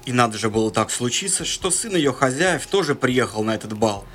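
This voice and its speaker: A man's voice: medium pitch, animated, fairly quick, fairly bright tone, a little hiss or hum. A man, an actor, narrating a fairy tale expressively as a storyteller.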